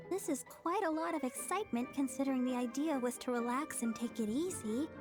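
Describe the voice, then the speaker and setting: Woman as a very high, sweet teenage girl. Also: moderate pace, close to an everyday voice. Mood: bashful, cheerful. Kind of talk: anime game dub acting. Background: music.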